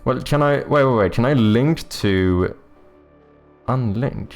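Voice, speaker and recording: A man's voice: mid-range voice, takes it slowly, faint music behind. A man from Sweden with a Swedish accent, streaming casually and thinking aloud as he works, with easygoing, sometimes sweary chat. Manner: thinks aloud, muttering while working. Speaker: streamer and game developer.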